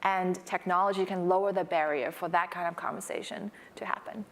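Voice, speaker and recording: A woman's voice: fairly low pitch, fairly even intonation, brisk, a little hiss or hum. A woman in her thirties from Hong Kong, a startup founder telling a personal story on a conference panel, earnest and rising to emphatic peaks.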